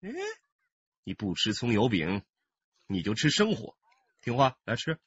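An actor playing a sinister kidnapper in a radio drama, coaxing with mock tenderness and threatening. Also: male voice, on the low side, big pitch swings, measured pace, highs cut off, background noise. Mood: menacing. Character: sinister male kidnapper, sing-song coaxing turning menacing.

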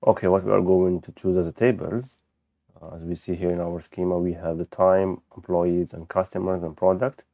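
A man who speaks as a gives an unscripted software walkthrough, haltingly thinking aloud as he demonstrates. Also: low voice, little pitch movement, gravelly, dry recording. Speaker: software tutorial presenter. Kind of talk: unscripted screen-recorded tutorial walkthrough. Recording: dull, lo-fi close microphone.

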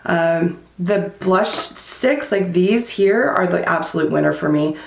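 Beauty vlogger, thirties, woman: husky and worn-out, frank and casual, filming in a roomy home space. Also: fairly low pitch, slow. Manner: chatty and candid, many likes and fillers. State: losing her voice. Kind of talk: casual product review. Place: small room at home, indoors.

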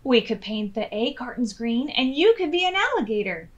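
A woman's voice: fairly high pitch, big pitch swings, moderate pace, lo-fi sound. A woman voicing a fretful, bossy young male storybook character in an emphatic, dramatic way. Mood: urgent, persuasive.

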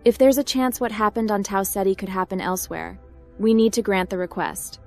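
Woman acting female space captain, mid-pitched, earnest, direct, music behind. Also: a little fast, natural voice. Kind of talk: roleplay dialogue for game protagonist.

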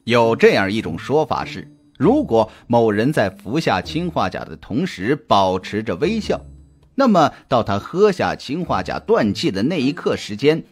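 A man reading a scripted suspense audiobook in a dramatic, deliberate, expressive storytelling manner. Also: medium pitch. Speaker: audiobook narrator. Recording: music under the voice. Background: background music.

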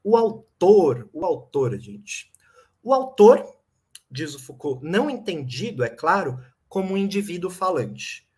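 A man in his thirties with a Brazilian Portuguese accent, giving a lively, ironic online lecture on philosophy from a home room with bookshelves, speaking to a desk webcam. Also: high voice, measured pace, slightly rough. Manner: lively, ironic, explains and jokes with the audience. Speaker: philosophy lecturer and channel host.

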